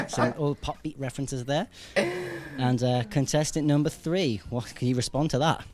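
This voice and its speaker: A young man hosting contestants on a student radio dating show, brisk and jokey. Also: medium pitch, steady tone. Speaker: studio host with contestants.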